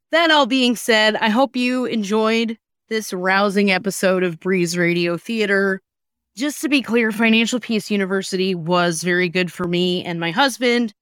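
Female podcast host, casual and joking, mid pitch, dry close mic. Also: a bit bright, smooth, no room sound. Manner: tongue-in-cheek, joking. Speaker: podcast host and sketch writer. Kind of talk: casual chat.

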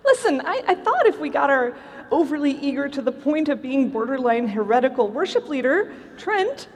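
A woman's voice: high-pitched, very animated, normal speed. A woman playing an eager, earnest church lady in a chirpy manner in a live stage comedy sketch. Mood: sheepish. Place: convention stage in a large hall. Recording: echoey hall, live stage.

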